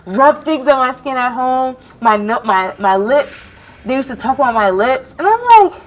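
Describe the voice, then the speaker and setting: A young woman from Jamaica talks in a panel discussion. Her voice sits a little high. She tells her story animatedly and jumps up in pitch when she acts out what people said to her. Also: normal speed.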